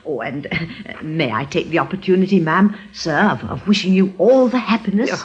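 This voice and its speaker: A woman's voice: on the low side, animated, moderate pace, lightly acted. An actress playing a kindly, fussy, deferential woman innkeeper in an old-time radio drama. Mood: gushing, flustered. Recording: muffled vintage radio recording, heavy music.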